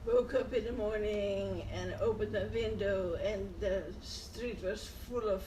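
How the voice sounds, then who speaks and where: An elderly woman, answering questions in short, plain, halting phrases. She sits some way from the mic in an echoey room with faint ambient noise behind her. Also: medium pitch, fairly even intonation, warm tone, far from the mic. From Netherlands. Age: 80s. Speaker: interviewee. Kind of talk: oral history interview.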